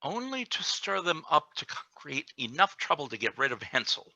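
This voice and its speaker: A man playing an ambitious, calculating deputy governor, emphatic and deliberate, in a radio play reading. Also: a little high, sing-song, creaky, pushed and bright, no echo, heavily acted. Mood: scheming, commanding.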